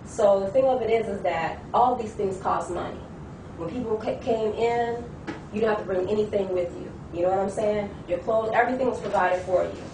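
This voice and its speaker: A woman's voice: mid-range voice, a little fast. Earnest woman in a noisy, echoey room. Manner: earnest, listing, repeats herself. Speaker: discussion participant. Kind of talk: testimony in a debate. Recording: echoey room, far from the mic, with clear noise.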